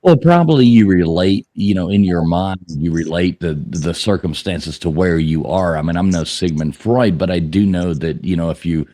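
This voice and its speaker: A man's voice: deep voice, normal speed, hardly any pauses, dark tone. A man hosting a casual chat show, teasing and joking with his guests. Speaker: host.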